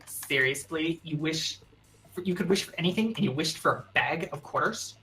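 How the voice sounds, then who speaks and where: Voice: medium pitch, normal speed, bright, forceful tone, soft background music, low-quality recording. A young adult friend teasing the host sarcastically during a game session. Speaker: friend playing along. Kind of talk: casual banter and reading game dialogue.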